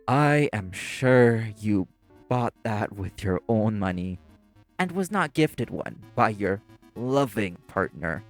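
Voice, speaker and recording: A man playing a prim, exasperated waiter in a scripted audio roleplay, speaking formally with deadpan, condescending patience. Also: fairly low pitch, slow, faint music behind. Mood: dry sarcasm. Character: prim, exasperated, sarcastic young waiter boyfriend. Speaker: voice actor.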